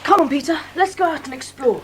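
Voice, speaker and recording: A woman's voice: high-pitched, expressive, fast, fairly bright tone, slight background noise. A woman playing an eager, earnest schoolboy in a dramatised audiobook, with polite, old-fashioned, keen speech.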